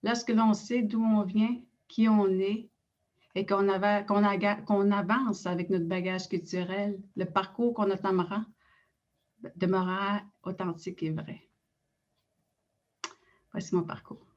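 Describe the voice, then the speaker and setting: A middle-aged Innu woman with a Quebec French accent, reading a prepared personal account in a measured way with frequent hesitations. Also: mid-range voice, level tone, highs cut off. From Innu community in Quebec. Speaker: director of an Innu cultural institute.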